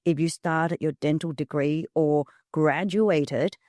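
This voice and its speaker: A woman, talking candidly as an interview guest with quick self-deprecating asides. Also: low-pitched, warm tone, no room sound. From Hong Kong. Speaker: guest, prosthodontist. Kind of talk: casual interview conversation.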